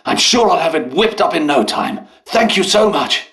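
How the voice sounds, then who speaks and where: An actor playing a polite, dutiful, harried English doctor and scientist in a video game, with a British accent and a hesitant, genteel manner. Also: male voice, a little high, lively intonation, moderate pace, a bit bright. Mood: excited, grateful. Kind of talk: scripted game character dialogue.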